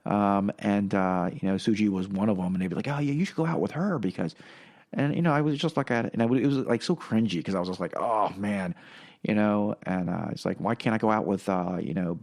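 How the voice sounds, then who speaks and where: A man's voice: fairly low pitch, a little fast, darkish tone. A middle-aged man whose first language is Korean, telling personal stories as a podcast guest in a rambling way, full of fillers and restarts. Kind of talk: casual personal storytelling.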